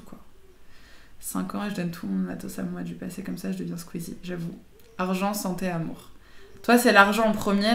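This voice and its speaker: A woman's voice: medium pitch, reverberant. A young adult woman chatting casually with her livestream viewers in informal, slangy, teasing speech. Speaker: live streamer chatting with viewers.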